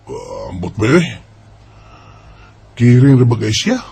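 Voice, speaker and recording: A man's voice: medium pitch, wildly swooping pitch, slow pace, vocal fry, darkish tone, slightly rough, full character voice. A man playing an earnest, lovestruck young son in a Bisaya radio drama, with a Filipino accent. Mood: hesitant. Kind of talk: amateur radio drama acting.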